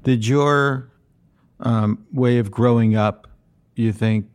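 A man's voice: mid-range voice, steady tone, takes it slowly. A middle-aged man interviewing a singer, chatty and full of compliments, with frequent ums. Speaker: radio interviewer.

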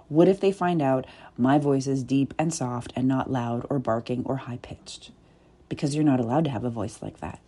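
A woman with a deep, soft voice speaking in a reflective, deliberate way, with pauses and whispered asides. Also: husky. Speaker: body-work coach. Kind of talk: reflective spoken essay.

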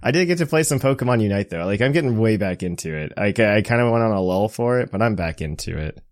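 Low-voiced man hosting a casual podcast. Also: lively intonation, fast, no echo, clean studio sound. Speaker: podcast host. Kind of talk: casual chat.

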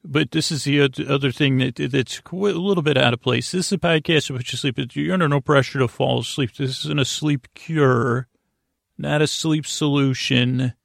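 A man with a creaky voice hosts a sleep podcast, rambling soothingly and a little goofily, stammering and wandering off into tangents. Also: medium pitch, no echo, studio quality. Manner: meandering, halting, stammering, trailing off into tangents; soothing, lulling, goofy. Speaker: podcast host. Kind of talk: rambling bedtime monologue to help listeners sleep.

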